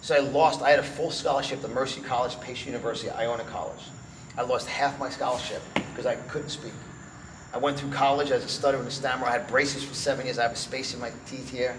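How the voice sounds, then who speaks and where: Fast-talking New York man, echoey room. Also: mid-range voice, fairly even intonation. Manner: emphatic, repeats phrases for effect. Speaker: guest, event organizer and motivational speaker. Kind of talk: promotional interview talk with personal storytelling. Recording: roomy echo, clear background noise.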